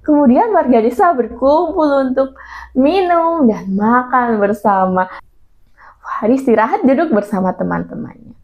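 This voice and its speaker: A woman's voice: high voice, measured pace. A woman telling a story expressively to young children, in a dull lo-fi recording. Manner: addresses child listeners, expressive. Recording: dull lo-fi sound.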